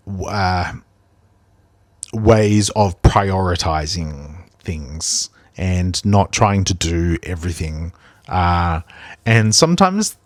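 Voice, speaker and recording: A man's voice: low-pitched, dry recording. A man, a primary school teacher hosting a podcast, who talks in a reflective, rambling way with many ums and restarts. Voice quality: big voice. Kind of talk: reflective casual podcast chat.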